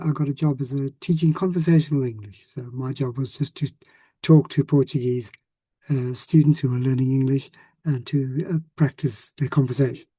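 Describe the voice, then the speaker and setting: A man in his sixties with a South African accent, a retired language teacher talking casually to former students, often pausing with ums and restarting sentences. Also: medium pitch, mellow, husky, no echo, low-quality recording. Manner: hesitant, many ums and restarts.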